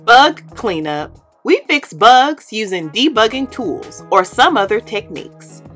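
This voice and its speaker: A young woman, host of the channel, reading a children's picture book aloud in an expressive, animated way. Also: fairly high pitch, measured pace, soft background music. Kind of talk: read-aloud storytelling. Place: indoor studio with plain orange backdrop.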